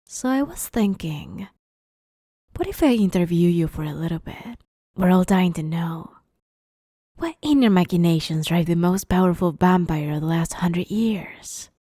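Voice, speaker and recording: A woman playing a playful, smug, menacing vampire interviewer in an ASMR roleplay, teasing and drawling, often slipping into breathy whispers. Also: lowish voice, lively intonation, measured pace, dark tone, no echo, clean studio sound. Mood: coaxing, playful. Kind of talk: ASMR roleplay, scripted monologue.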